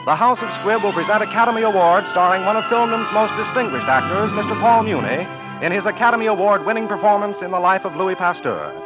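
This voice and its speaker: A man announcing on 1940s radio from a script, formal, measured and emphatic. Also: sits high, animated. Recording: muffled vintage radio recording, faint music.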